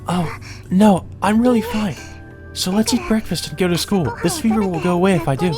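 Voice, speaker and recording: A sick young woman from a visual novel, played by a man, speaks in a soft, high, hesitant voice. She is meek and polite, yet stubborn about being fine. Faint music plays behind the voice. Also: fairly quick, natural voice. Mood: insistent.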